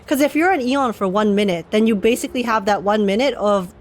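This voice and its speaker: A woman's voice: mid-range voice, brisk. A young woman streamer giving casual, chatty reaction commentary on a game, laughing often.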